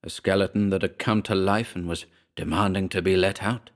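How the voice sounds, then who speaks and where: A man with a fairly low voice reads a horror story as its narrator. His delivery is measured and dramatic, shaped as scripted storytelling. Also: steady tone.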